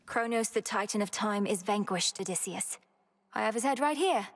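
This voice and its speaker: Young witch princess, video game heroine, husky, determined, reverberant hall sound. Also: mid-range voice, lively intonation, normal speed. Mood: resolute. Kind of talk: video game character acting.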